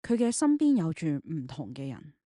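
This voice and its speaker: A woman's voice: deep voice, sing-song, normal speed, dark tone, no room sound, clean studio sound. A woman, narrating a scripted audio drama in the third person.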